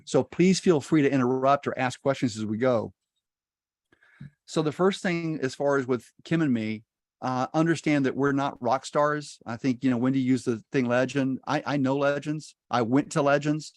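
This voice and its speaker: A man's voice: medium pitch, normal speed, no room sound, studio quality. A middle-aged man, a native Spanish speaker, teaching real estate investing in a folksy, upbeat way over a video call.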